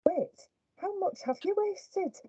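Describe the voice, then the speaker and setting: A stern teacher, played by a woman who scolds in a high, lively, mellow voice. The sound is dull, lo-fi and dry. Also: takes it slowly, no echo, exaggerated voice. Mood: scolding.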